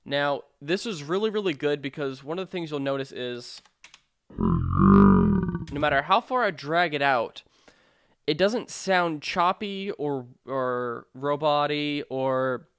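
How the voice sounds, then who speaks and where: A man narrating a software tutorial in an informal, explanatory way with hesitant phrasing. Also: medium pitch, clear, resonant tone, no room sound, clean studio sound. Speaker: sound design tutorial presenter.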